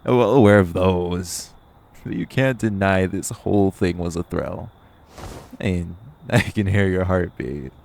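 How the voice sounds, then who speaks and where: A man's voice: deep voice, unhurried, creaky, darkish tone, slight background noise. A man playing a cocky, flirtatious vampire who banters sardonically and swears in an audio roleplay. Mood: teasing. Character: cocky, teasing, flirtatious male vampire, long-lived yet youthful.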